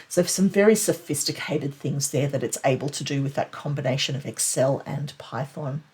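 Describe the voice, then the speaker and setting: Low-pitched, slightly husky woman presenter. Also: a little mellow. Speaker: tech presenter. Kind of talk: explanatory product news recap to camera.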